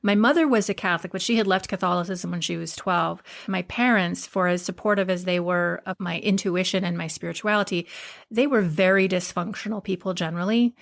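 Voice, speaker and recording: A middle-aged woman from Hawaii speaks as a podcast guest, with a slightly low voice that has a gravelly edge of vocal fry. She is candid and relaxed in conversation and often laughs through her stories. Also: a little fast. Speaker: podcast guest, spiritual teacher. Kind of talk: casual interview conversation.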